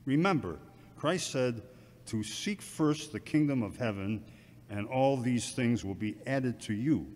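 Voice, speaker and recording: A man playing a sober, counselling church deacon with a measured, instructive manner in an amateur audio drama. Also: medium pitch, expressive, darkish tone, close to an everyday voice. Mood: sober, advising. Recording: reverberant, faint background ambient noise.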